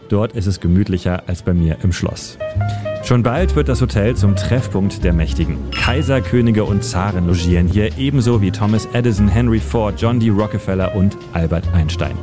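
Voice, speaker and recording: A man narrating a scripted history feature for radio in a measured storytelling manner. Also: on the low side. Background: instrumental music bed.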